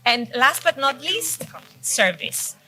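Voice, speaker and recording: Bright-voiced woman giving a keynote. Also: high voice, big pitch swings, takes it slowly, a little hiss or hum, poor audio. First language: Greek. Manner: speaks off the cuff with frequent fillers and restarts. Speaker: keynote speaker. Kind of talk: conference presentation. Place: conference hall.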